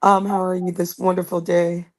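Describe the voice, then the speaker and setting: A woman's voice: on the low side, little pitch movement, normal speed, darkish tone. A woman calling into a casual chat, talking hesitantly with many fillers. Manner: hesitant, filler-heavy talk.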